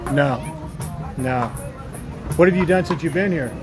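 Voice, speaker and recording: A man with a fairly high voice hosts a walking live stream in a busy city park, teasing passers-by with quick questions. He talks into a handheld phone camera. Loud music and crowd chatter fill the background.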